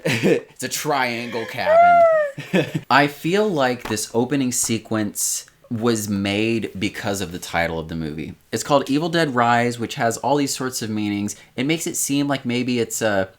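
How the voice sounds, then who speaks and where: A young man co-hosting a podcast in casual chat, rambling and animated, laughing often. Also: mid-range voice, a little slow. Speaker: podcast co-host.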